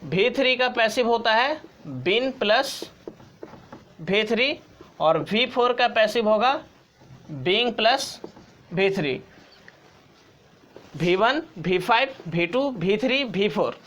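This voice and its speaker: A man's voice: high voice, expressive, slow pace, long pauses, fairly bright tone. A man giving an informal tutorial as a teacher, explaining step by step and repeating key points. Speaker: grammar teacher.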